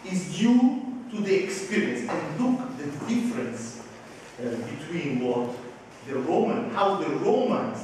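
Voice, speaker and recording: A man whose first language is Hebrew, explaining glassmaking to an interviewer in halting English, often stopping to search for words. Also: high-pitched, a little mellow, very echoey, long reverb tail, recorded from a distance, slight background noise, low-quality recording. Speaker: glass designer. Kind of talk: explaining his work to an interviewer.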